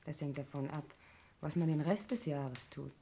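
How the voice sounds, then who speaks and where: Wry, composed governess. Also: female voice, sits low, normal speed, dark tone. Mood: guarded. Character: dry-witted, self-possessed female governess in a thriller. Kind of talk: 1960s German radio play acting. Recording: noisy 1960s radio recording with clicks.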